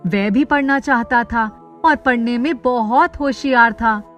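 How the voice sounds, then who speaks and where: Woman, high voice, story narrator, scripted children's tale, music behind. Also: unhurried, a bit bright.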